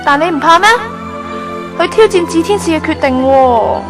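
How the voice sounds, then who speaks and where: A woman's voice: high voice, normal speed, close to an everyday voice. A woman playing an earnest, sentimental young trainee angel in a Hong Kong Cantonese radio drama. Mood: wistful. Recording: background music under the voice.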